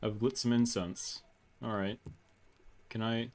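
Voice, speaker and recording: A man doing low-key, casual game commentary and reading text aloud, sometimes halting over unfamiliar words. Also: steady tone, darkish tone. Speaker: gaming video host.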